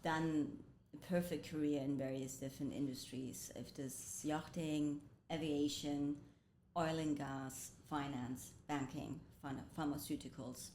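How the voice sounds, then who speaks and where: A woman's voice: fairly even intonation, unhurried. A woman who grew up between Indonesia, Germany and the US, telling her life story as a guest in a reflective way. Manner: reflective, frequent soft laughs. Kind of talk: personal storytelling in conversation. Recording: roomy echo with faint rumble.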